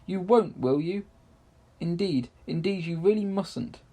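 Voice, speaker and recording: A man's voice: high voice, measured pace, warm tone, pitched above the performer's own voice. Storybook little girl, played by a man: kind, earnest, emphatic, voice lifted well up. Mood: alarmed, pleading.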